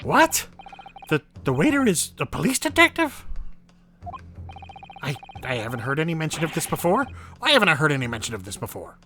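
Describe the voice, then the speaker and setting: A man's voice: fairly high pitch, huge pitch swings, fairly quick, bright, forceful tone, faint music behind, exaggerated voice. A man voicing an imperious, easily bewildered judge in a courtroom video game, with a pompous, formal, commanding manner. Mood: shocked. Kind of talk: dramatic video game voice acting.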